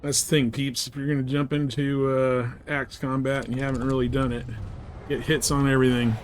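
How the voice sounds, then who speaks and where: A man's voice: mid-range voice, little pitch movement, fairly quick, a little hiss or hum. A man livestreaming casual, slangy gameplay commentary in a laid-back way.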